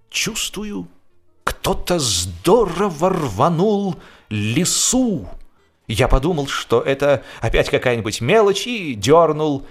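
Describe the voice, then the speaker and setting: Man acting a smug, leisurely veteran angler. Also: mid-range voice. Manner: leisurely, self-important, feigned reluctance, repeats himself. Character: smug, respectable veteran angler spinning tall tales. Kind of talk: comic radio play acting.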